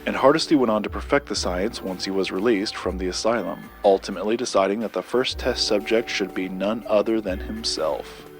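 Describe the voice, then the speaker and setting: Low-voiced actor as a secretive storytelling collector. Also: male voice, brisk, few pauses, soft background music, close to an everyday voice. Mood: grave, mysterious. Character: secretive male collector recounting a dark local legend. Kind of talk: audio drama storytelling.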